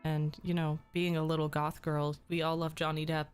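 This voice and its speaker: A woman's voice: low voice, level tone, moderate pace, soft background music. A young woman streamer chatting casually with her viewers, a little sick and losing her voice, with frequent ums. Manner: chatty, reads and answers chat, frequent ums. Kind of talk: casual livestream chat.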